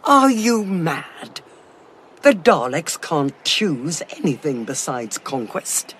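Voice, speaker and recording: A woman's voice: deep voice, sing-song, slow, a little hiss or hum, heavily acted. A woman playing a sceptical, hard-headed soldier, questioning and insistent, in a sci-fi audio drama. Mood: defiant.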